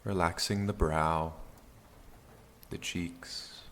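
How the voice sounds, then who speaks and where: Male meditation guide, low-pitched and unhurried, calm and gentle, over a steady rain backtrack. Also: a little hiss or hum. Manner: calm, soothing, gentle phrasing with long pauses. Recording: steady rain sound behind the voice.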